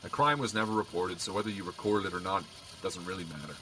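A man's voice: sits low, fast talker, a little hiss or hum. An actor playing a wry male police officer who teases and jokes dryly. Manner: dry joking, lively. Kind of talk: audio drama acting.

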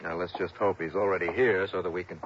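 Actor as fast-talking confident radio detective, vintage recording. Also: male voice, low-pitched, fairly even intonation, highs cut off, a little hiss or hum, natural voice. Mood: brisk, businesslike. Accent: American. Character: brisk, confident male insurance investigator. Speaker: lead actor and narrator. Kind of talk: early 1960s American radio drama acting.

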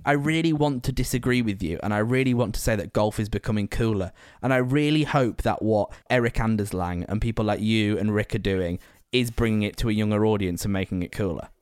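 A man's voice: fairly low pitch, brisk, a little mellow, clean tone. Young man, bantering interviewer. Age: 20s. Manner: teasing, bantering. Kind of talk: casual chat.

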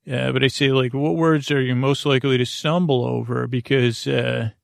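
A man's voice: medium pitch, fairly even intonation, moderate pace, dry recording, studio quality. A man hosting a sleep podcast in a rambling monologue, meandering and hesitant, often stumbling over his words. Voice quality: creaky. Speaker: podcast host.

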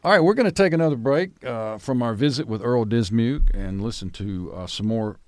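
A man's voice: medium pitch, a little fast, no room sound. A man hosting an arts radio interview in a folksy, informal, conversational way. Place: university building studio.

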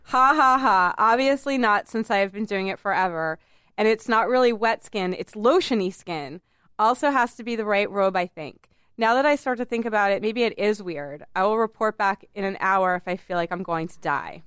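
A woman's voice: mid-range voice, moderate pace, fairly bright tone, clean tone, dry recording, natural voice. A woman reading the part of a listener defending her bathrobe habit, breezy and matter-of-fact, in a comic podcast role play on a dry mic.

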